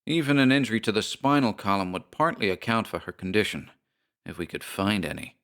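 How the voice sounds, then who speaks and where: A man voicing a puzzled, careful doctor who talks in a hesitant, measured way. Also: on the low side, fairly bright tone.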